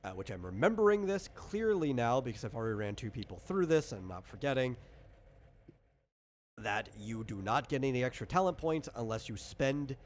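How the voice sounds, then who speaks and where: A man's voice: medium pitch, animated, moderate pace. A man casually explaining tabletop game rules as the game master, rambling and interrupting himself as he goes. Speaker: game designer running character creation. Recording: clear background noise.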